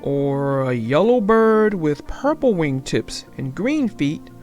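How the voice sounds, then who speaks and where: A woman's voice: deep voice, sing-song, slow pace, darkish tone, muffled recording, faint music behind, pitched below the performer's own voice, heavily acted. A woman voicing a puzzled, good-natured father bear in a storybook, bemused and questioning. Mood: confused.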